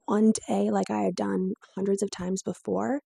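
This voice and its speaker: A woman's voice: mid-range voice, flat delivery, moderate pace, creaky, warm tone, a little hiss or hum. A woman in her twenties with a weak, strained, breaking voice, telling her own story to camera in a candid, lightly self-mocking way. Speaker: vlogger.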